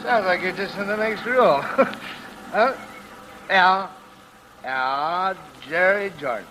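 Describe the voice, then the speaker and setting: An actor playing a drunken, grieving ex-husband, halting and repetitive, in 1940s radio drama style. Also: male voice, high voice. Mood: boozy, falsely casual. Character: drunken, grieving ex-husband who has killed. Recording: noisy old radio recording.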